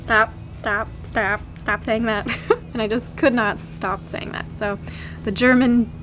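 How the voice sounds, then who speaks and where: Chatty young American woman vlogger. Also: a little high. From California. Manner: chatty, giggly, lively storytelling. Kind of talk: casual chat to camera.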